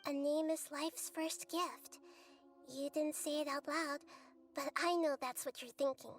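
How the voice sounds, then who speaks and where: High, gentle, wise young goddess. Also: female voice, fairly even intonation. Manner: measured, philosophical, reassuring. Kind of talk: dramatic game voice acting. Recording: slightly distant voice over music.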